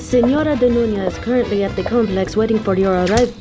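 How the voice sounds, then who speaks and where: A woman's voice: a little high, fast, over music, close to an everyday voice. A woman playing a polite, formal female attendant in a roleplay audio drama, courteous in manner.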